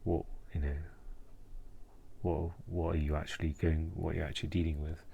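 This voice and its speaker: Very deep, halting, distressed man. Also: little pitch movement, gravelly, dark tone, dull audio, slight background noise. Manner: hesitant, halting, searching for words. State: distressed, subdued. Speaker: mental health vlogger. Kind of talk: personal reflective vlog monologue.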